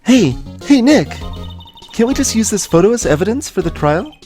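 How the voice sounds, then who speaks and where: A man's voice: high-pitched, sing-song, moderate pace, a little mellow, soft background music, voice pushed up. A man voicing a perky young female assistant in a video game, chatty, eager and teasing. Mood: pushy, excited.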